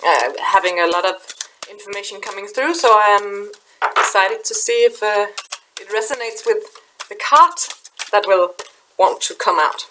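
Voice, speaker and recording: A middle-aged woman from Denmark with a Danish accent, speaking English softly at her webcam, halting and repeating words as she gropes for them. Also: medium pitch, slight background noise, rough recording. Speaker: spiritual channeler. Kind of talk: casual spiritual vlog talk. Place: small room indoors. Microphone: webcam in front of her.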